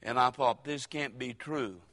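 Older preacher in an echoing church. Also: male voice, medium pitch. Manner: folksy preaching with dramatic pauses and sudden loud rises. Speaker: pastor. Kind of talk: sermon.

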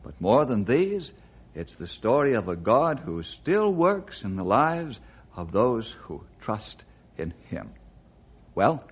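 An actor playing a steady, kindly ranger who tells stories in a radio drama, with a husky voice. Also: male voice, mid-range voice, slow pace, full character voice. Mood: earnest, storytelling. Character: steady, kindly cowboy ranger, storyteller and family friend. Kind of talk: old-time radio drama acting and narration. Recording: dull lo-fi old radio recording.

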